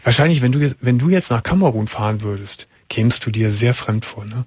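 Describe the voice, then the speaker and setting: Male late-night radio host, calm and affirming, husky tone, dry dull radio sound. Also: medium pitch, fairly quick, no echo. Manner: calm, affirming with frequent short agreements.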